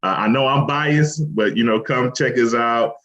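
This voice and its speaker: A man who owns a restaurant, talking in a relaxed, rambling way with lots of fillers as an interview guest, from Cleveland. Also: medium pitch, normal speed. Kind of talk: casual interview talk. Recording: lo-fi muffled audio.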